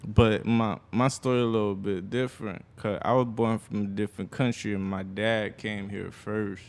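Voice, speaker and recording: A man's voice: fairly low pitch, steady tone, normal speed, a little mellow. A man from Haiti who moved to Miami, chatting casually as an interview guest, playful and slangy with frequent swearing. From born in Haiti, later moved to Miami. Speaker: interview guest, music artist. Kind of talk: casual chat.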